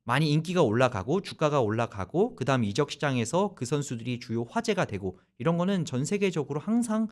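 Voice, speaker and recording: A man hosts a casual football talk show on the radio. His voice sits fairly high and swings widely in pitch as he explains things at length. He rambles a little in an easy, conversational way. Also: big pitch swings, fairly quick, hardly any pauses, a little mellow.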